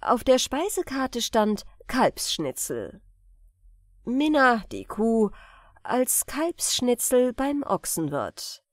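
Lady reading a funny folk tale audiobook, slow with dramatic pauses, super animated pitch, dry sound. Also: no room sound. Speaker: audiobook reader. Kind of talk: expressive scripted storytelling of comic folk tales.

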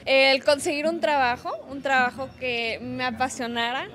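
Earnest Mexican woman, high voice. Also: steady tone, normal speed, fairly bright tone. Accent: Mexican Spanish. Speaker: interviewee. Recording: music in the background.

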